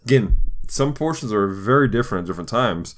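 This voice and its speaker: A man hosting a podcast in casual chat, enthusiastic, often halting as he searches for his words. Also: on the low side, expressive. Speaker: podcast host.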